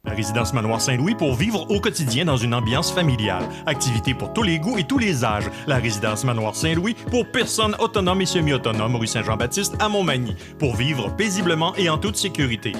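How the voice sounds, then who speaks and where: Ad voice, lively up and down pitch, guitar music clear underneath. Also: male voice, a little fast, few pauses. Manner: smooth, even promotional delivery. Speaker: ad voice-over announcer. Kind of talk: radio-style commercial read.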